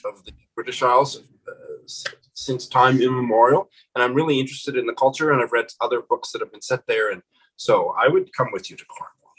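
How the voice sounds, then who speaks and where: A middle-aged man with a husky, slightly breathy voice at an ordinary pitch for a man. He talks about books in a thoughtful, unhurried way, like a relaxed co-host. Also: low-quality recording. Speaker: booktuber co-host. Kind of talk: casual book chat.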